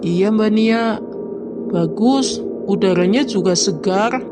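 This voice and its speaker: A woman's voice: mid-range voice, slow, lightly acted. A woman voicing a polite, helpful household maid in a cartoon. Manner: polite, servile. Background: music.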